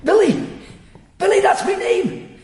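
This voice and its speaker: An actor playing an excitable, devout, childlike drifter who speaks with simple, eager, scripture-quoting fervour in a radio drama. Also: male voice, very high voice, measured pace, full character voice. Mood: eager, excited. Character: excitable, devout, childlike former hospital patient living rough. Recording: very echoey, roomy space.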